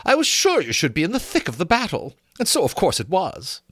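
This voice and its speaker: A man's voice: high voice, huge pitch swings, a little fast, fairly bright tone, no echo, clean studio sound, pitched above the performer's own voice, full character voice. A man voicing a genteel, effusive young society lady in a storybook reading. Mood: gushing. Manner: effusive, mannered politeness.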